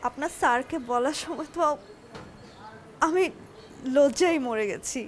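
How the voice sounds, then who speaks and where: A woman's voice: sits high, a little slow. A young woman with a Bangladeshi accent acting a shy, trusting, simple wife in a TV drama, speaking in a hesitant, confiding way. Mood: shyly happy. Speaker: actress in a TV drama. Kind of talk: naturalistic Bangla TV drama dialogue. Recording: noisy drama location sound.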